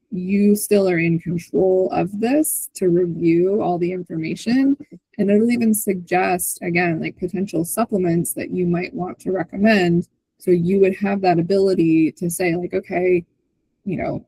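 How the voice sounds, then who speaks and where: Husky, low-pitched woman explaining informally. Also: steady tone, measured pace, mellow, poor audio. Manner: conversational, often ends points with a checking "right?". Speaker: host presenting on a community call. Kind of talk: informal software walkthrough and explanation. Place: online video call with screen sharing.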